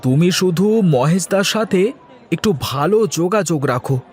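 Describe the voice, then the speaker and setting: A man voicing villagers in a comic folktale cartoon, with a drawn-out, theatrical delivery. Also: high-pitched, animated, a little mellow. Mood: sly, conspiratorial. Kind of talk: exaggerated cartoon voice acting.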